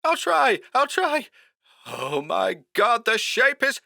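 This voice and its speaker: A man voicing a frantic, obsessed horror writer who talks high-pitched, strained and hoarse. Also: sing-song, takes it slowly, sharp tone, no echo, studio quality, heavily acted. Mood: terrified. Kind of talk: audiobook character voice.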